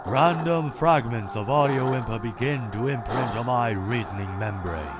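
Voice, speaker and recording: A man voicing a cold, logical male robot scientist who narrates in a clinical, measured, detached way for a comic dub. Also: medium pitch, close to an everyday voice. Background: loud music.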